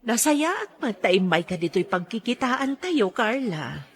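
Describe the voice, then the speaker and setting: A woman's voice: on the high side, sing-song, unhurried, slightly rough, heavily acted. An actress playing a sociable woman friend in a radio drama. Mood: friendly. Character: sociable female friend of a middle-aged woman.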